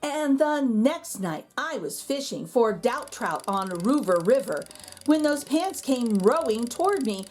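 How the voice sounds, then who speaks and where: A woman's voice: fairly high pitch, very animated, a little slow, a little mellow, a little hiss or hum. A woman voicing a jittery, easily frightened picture-book narrator, telling a rhyming tale with suspenseful, dramatic emphasis.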